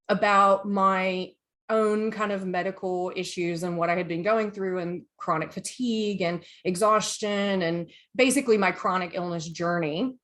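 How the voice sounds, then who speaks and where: Chatty American woman hosting a podcast. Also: on the low side. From United States. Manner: chatty, enthusiastic, lively asides. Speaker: podcast host. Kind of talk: casual podcast chat, reading notes.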